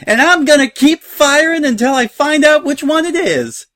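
A man voicing a crazed, furious female pony pirate in a storybook, yelling with theatrical bluster. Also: very high voice, animated, moderate pace, pitched above the performer's own voice, full character voice. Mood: gleeful fury.

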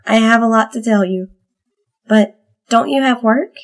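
A little pony girl with a soft, small voice pitched far up into a falsetto, as a man puts her on. She speaks slowly and gently, with a gravelly catch under the high tone. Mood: gentle. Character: small young filly pony, gentle and tearful.

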